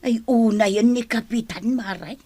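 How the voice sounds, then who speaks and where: A woman playing an excitable, fussy village busybody in a comic radio drama. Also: fairly high pitch, normal speed, breathy edge. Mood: coy, fretful.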